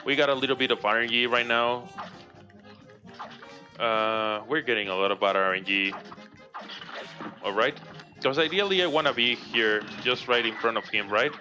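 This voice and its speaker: A man's voice: mid-range voice, moderate pace, pauses often. A man from Mexico with a Mexican Spanish accent, his first language Spanish, chatting casually through a live speedrun commentary. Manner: relaxed, chatty, laughs often, reads chat aloud. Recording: dull lo-fi stream audio with game music. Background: game music.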